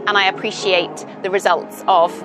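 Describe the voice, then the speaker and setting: A woman's voice: mid-range voice, little pitch movement, moderate pace, low-quality recording. Young woman from the uk chatting in an interview, lots of ums, music under it. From Britain. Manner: chatty, thoughtful, many ums and you-knows. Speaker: interview guest. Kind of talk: casual interview chat.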